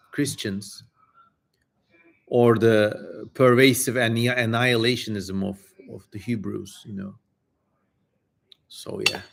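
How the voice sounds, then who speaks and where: A man hosting a live reading stream, commenting casually and wryly with hesitant pauses. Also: mid-range voice, creaky, a bit bright. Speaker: host of a dramatic reading livestream. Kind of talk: live stream commentary between passages of an old text.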